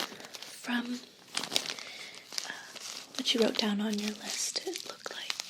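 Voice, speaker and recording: A woman gently whispering an ASMR role play as an attentive, unhurried shop clerk, close to a binaural mic. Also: fairly high pitch, poor audio. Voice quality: breathy whisper. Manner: gentle, unhurried, attentive customer-service patter. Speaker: ASMR performer playing a herb shop clerk. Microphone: close binaural microphone. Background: crinkling and rustling paper sounds.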